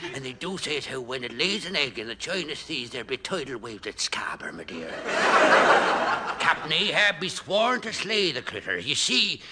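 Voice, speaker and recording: An actor playing a gossipy, ominous old sailor with a West Country accent, relishing melodramatic warnings in a 1960s radio comedy. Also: male voice, mid-range voice, sharp tone, a little hiss or hum. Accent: stage West Country. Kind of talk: 1960s BBC radio comedy sketch acting.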